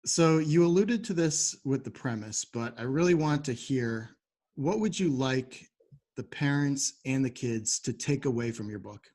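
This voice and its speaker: A man's voice: medium pitch, expressive, a little slow, a little mellow. A man hosting an interview podcast, asking questions and addressing listeners. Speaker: podcast host.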